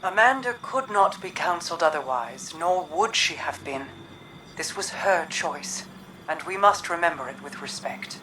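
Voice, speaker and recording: A woman voicing a composed, regal queen in a game cutscene, grave and consoling, speaking softly in measured, formal phrases. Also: on the low side, animated, a little hiss or hum, low-quality recording. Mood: solemn, consoling. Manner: measured, formal, soft-spoken. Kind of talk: dramatic video game cutscene acting.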